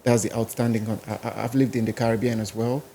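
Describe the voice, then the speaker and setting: A man's voice: mid-range voice, little pitch movement, quick pace, vocal fry, mellow, slight background noise. A man with a Nigerian accent, a musician guest in a podcast interview, talking in a rambling, casual way. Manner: rambling, casual, listing places and languages.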